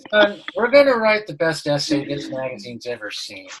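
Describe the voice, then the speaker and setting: A man playing an easygoing, boastful sitcom dad with a husky voice in a comedic table read. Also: fairly high pitch, big pitch swings, moderate pace, fairly bright tone, a little hiss or hum, poor audio. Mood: easygoing, proud. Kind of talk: comedic table read over video call.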